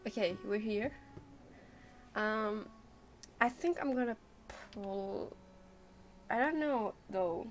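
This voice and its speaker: Slow-paced young woman streamer, hesitant. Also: mid-range voice, faint music behind. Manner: hesitant, rambling, thinking aloud. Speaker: game streamer. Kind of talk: casual livestream chat.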